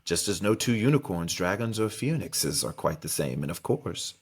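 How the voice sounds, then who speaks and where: Man doing an eccentric elderly wandmaker, storybook character, lowish voice, deliberate, muttering, ominous. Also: big pitch swings, breathy edge, full character voice. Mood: grave, instructive. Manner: slow, deliberate, muttering, savoring words. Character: eccentric old male wandmaker, excitable, ominous, mysterious.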